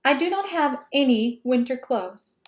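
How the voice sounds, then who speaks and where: Woman voicing a blunt, emphatic storybook toad, slow-paced. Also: high voice, lively intonation, a little hiss or hum. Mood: grumpy, reluctant. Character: Toad, grumpy reluctant anxious male toad friend. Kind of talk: children's storybook read-aloud.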